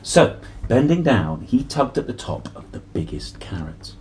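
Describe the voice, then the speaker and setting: A man hosting a picture-book read-aloud, telling the story in a playful, exclamatory way with drawn-out phrases and chatty asides to children. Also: mid-range voice, big pitch swings, darkish tone. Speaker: host reading picture books aloud.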